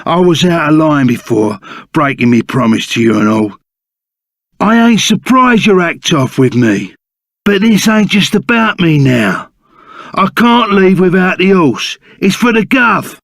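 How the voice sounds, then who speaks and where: Casting: a gruff, loyal Cockney rogue for a game, pitched fairly high with gravelly creak, blunt and slangy. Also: male voice, sing-song, a little slow, no echo, studio quality, heavily acted. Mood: remorseful, pleading. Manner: rough colloquial slang, blunt and emphatic. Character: gruff, loyal reformed bandit sidekick, plain-spoken and excitable. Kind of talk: video game character voice acting.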